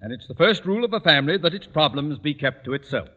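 Pompous principal, lively forceful voice. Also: male voice, a little high, fast talker, heavily acted. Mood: pompous, stern. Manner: pompous, oratorical. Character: pompous, blustering middle-aged male high school principal. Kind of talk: 1940s radio comedy acting. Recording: dull old-time radio recording.

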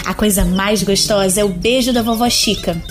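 A woman's voice: medium pitch, normal speed, soft background music, lightly acted. A woman with a Brazilian accent playing an eager, curious little boy with a childlike, pleading manner in a children's audio drama. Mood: thoughtful, sweet. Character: eager little boy, sweet, curious, pouty about Easter eggs.

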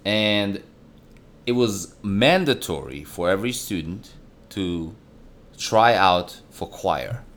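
A man with a deep voice co-hosts a casual podcast. He tells stories playfully, and his low voice swings widely up and down as he gets into them. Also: slow pace. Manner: animated storyteller, playful. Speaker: podcast co-host. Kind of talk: casual chat.

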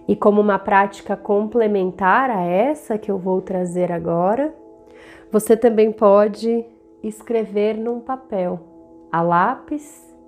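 Slow, higher-voiced Brazilian woman guiding meditation. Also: dark tone. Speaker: guided meditation host. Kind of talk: guided meditation narration. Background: meditative background music.

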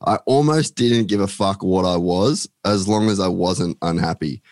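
Deep-voiced man, casual rambling chat, many fillers, dry close sound. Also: moderate pace, darkish tone, no echo. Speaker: podcast guest.

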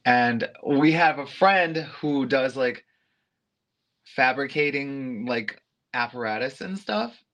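A man's voice: mid-range voice, slow. Chatty man full of likes and laughs. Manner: loose and animated, with filler "like" and frequent laughter. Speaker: interview guest, aerialist and go-go dancer. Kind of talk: casual storytelling chat.

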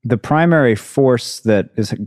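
A man's voice: mid-range voice, animated, a little slow, a little mellow, no room sound, clean studio sound. A man in his thirties hosting a conversation, speaking in a thoughtful, hesitant way and searching for words. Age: 30s. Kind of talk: casual conversation.

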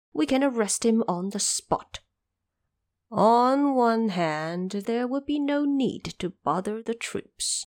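A woman plays an old heavenly messenger in a fantasy tale. He is formal and diplomatic, choosing his words with measured care, and his intonation rises and falls expressively. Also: medium pitch. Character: dignified old male celestial envoy, diplomatic and reverent.

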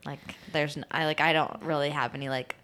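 A woman's voice: low-pitched, steady tone, a little fast, a bit bright. A woman co-hosting a podcast, talking casually with a stuffy, nasal voice from a cold, rambling with many fillers. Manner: rambling, many likes and ums. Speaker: podcast co-host. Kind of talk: casual chat.

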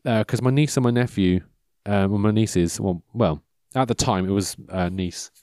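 Rambling man in his thirties, deepish voice. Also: normal speed, a little mellow, dry recording, studio quality. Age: 30s. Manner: meandering, self-interrupting, loses his train of thought. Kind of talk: rambling casual monologue.

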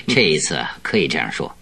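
An actor playing a shrewd, secretive male detective in a radio drama, with a husky, unhurried, coaxing delivery. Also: rumbling low, very animated, bright, forceful tone, full character voice. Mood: calm, scheming. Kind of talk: Mandarin radio drama acting.

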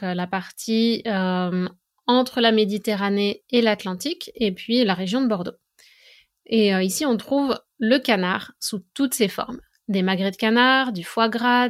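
A woman from France speaks as a teacher hosting an informal educational monologue. Her voice sits at an average pitch for a woman. She explains things methodically, moving through examples in an orderly way. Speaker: French language and culture teacher, podcast host.

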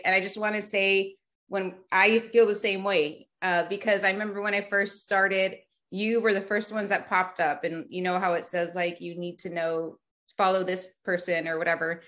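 A woman's voice: mid-range voice, steady tone, normal speed, sharp tone. A woman in her thirties from South Texas, a podcast guest talking in a chatty way with many fillers and restarts. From Rio Grande Valley, South Texas. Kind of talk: casual remote interview.

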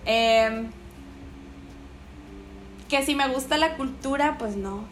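Slangy Mexican woman, lively chat. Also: sits high, slow pace, a little hiss or hum. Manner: slangy, animated, quotes others in voices. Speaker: guest. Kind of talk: casual interview chat.

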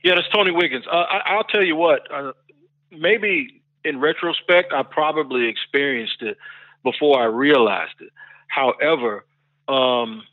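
An earnest man, a podcast host, thinking back over his past in a slow, reflective way. His voice comes over a narrow, tinny line with no room sound around it. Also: on the high side, dry recording. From United States. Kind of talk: roundtable discussion. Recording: dry tinny phone-like line.

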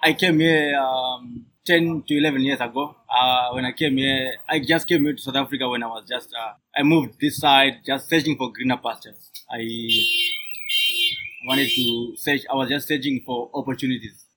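A man in his thirties speaks as a podcast guest, his voice bright and forward. He talks hesitantly, with frequent restarts and fillers. The talk is recorded outdoors on a sidewalk by a coffee shop. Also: mid-range voice, fairly even intonation, moderate pace, a little hiss or hum, poor audio. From Zimbabwe. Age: 30s.

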